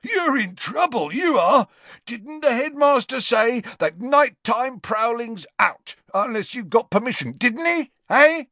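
A spiteful old caretaker wheezes out his words in a thin, high whine, gleefully needling. His voice sits far above the reader's own and jumps widely, recorded dry and close. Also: very animated, unhurried, pushed and bright, dry recording, full character voice. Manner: whiny, needling, nasty glee.

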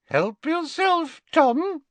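A man voicing a fussy, vain old rich woman who talks in a shrill, simpering and imperious way. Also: very animated, very slow, fairly bright tone, dry recording, full character voice.

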